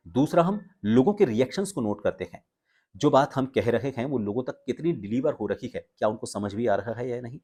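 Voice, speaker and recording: Man teaching in a measured explanatory way. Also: mid-range voice, a little mellow. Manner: explanatory, pausing and stressing key words. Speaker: voice coach and video presenter. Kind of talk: instructional talk to viewers with spoken examples.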